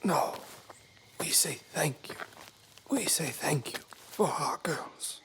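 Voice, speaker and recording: Grateful island woman, radio drama, whispery and real slow, solemn. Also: deep voice, animated, a bit bright, heavily acted. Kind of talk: audio drama acting.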